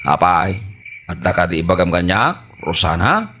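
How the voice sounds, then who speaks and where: A man's voice: deep voice, very animated, normal speed, pushed and bright, slight background noise, exaggerated voice. A man with an Ilocano accent acting a flustered, philandering husband in a radio comedy drama, stammering, exclaiming and whining as he pleads. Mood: anxious. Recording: music bed behind the voice.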